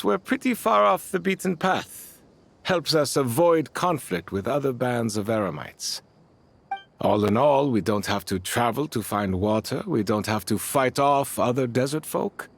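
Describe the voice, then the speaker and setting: A man playing a weathered, kindly desert band leader in a game, speaking in a folksy, fatherly and unhurried way. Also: medium pitch, animated, creaky. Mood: reflective. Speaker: voice actor in a game. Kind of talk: dramatic game voice acting.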